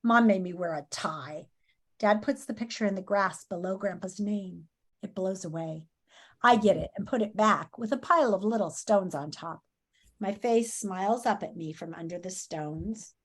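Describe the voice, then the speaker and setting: A woman's voice: medium pitch, moderate pace. A woman teacher reading a story aloud and giving lesson instructions to pupils, expressively and directly.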